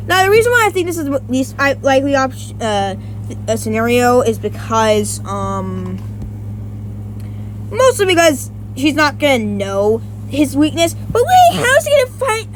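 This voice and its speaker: A woman's voice: high-pitched, slight background noise, poor audio. A woman giving casual versus-battle commentary, rambling with many restarts and self-corrections and some casual swearing. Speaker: YouTuber.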